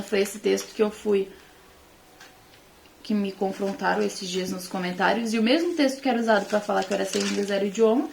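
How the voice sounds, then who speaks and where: A woman with a Brazilian accent and a mid-pitched voice teaches scripture in an informal way. She talks conversationally to her listeners, leaning on key words for emphasis. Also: gravelly, darkish tone, slight background noise. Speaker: Bible teacher. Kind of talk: informal Bible teaching talk to online followers.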